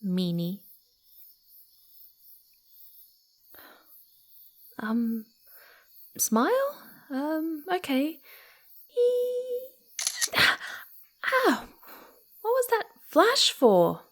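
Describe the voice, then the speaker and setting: Young female fox spirit, very animated intonation, slow pacing, cutesy, mischievous, affectionate, ASMR roleplay. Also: high voice, exaggerated voice. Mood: startled, playful suspicion. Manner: giggly, cutesy, teasing, often hesitant.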